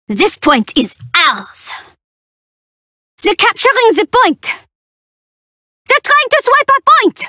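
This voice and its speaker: A young half-tiger thief girl from a video game, festive and full of cheek. Her voice is high and very animated, delivered in short, unhurried bursts. She teases theatrically, with a perky, playful edge. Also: no room sound, studio quality, full character voice. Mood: sly, teasing, then bright, cheery. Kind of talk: video game character voice lines.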